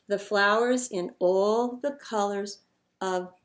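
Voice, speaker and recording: A woman reading a picture book aloud to children in an expressive, dramatic storyteller's manner. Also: mid-range voice, takes it slowly.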